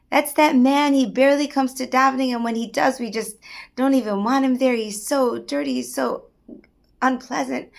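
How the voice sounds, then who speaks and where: A woman's voice: sits high, creaky, voice pushed up, heavily acted. A woman voicing a distressed, childless male town rabbi who pleads anxiously.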